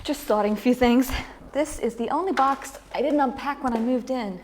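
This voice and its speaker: A woman acting a down-to-earth, educated, gently sarcastic flatmate in a sitcom table read. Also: high-pitched, normal speed, a little hiss or hum, lightly acted. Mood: matter-of-fact.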